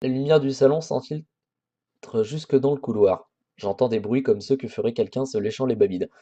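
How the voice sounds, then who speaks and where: A man's voice: mid-range voice, normal speed, mellow, muffled recording, lightly acted. A man reading the inner narration of a young male protagonist from a visual novel. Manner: plain reading of game text.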